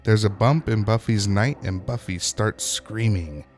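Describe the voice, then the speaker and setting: A man hosts a casual recap in a fairly low voice. He talks colloquially, dropping wry, joking asides, with light music underneath. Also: unhurried, darkish tone. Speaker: host of a TV episode recap show.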